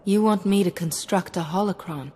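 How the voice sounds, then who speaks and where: An actress playing a calm, dutiful female Jedi sage in a video game, speaking formally and measuredly in a reverberant space. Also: mid-range voice, moderate pace, husky, close to an everyday voice. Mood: solemn, dutiful. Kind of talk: scripted video game dialogue. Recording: reverberant hall, background music.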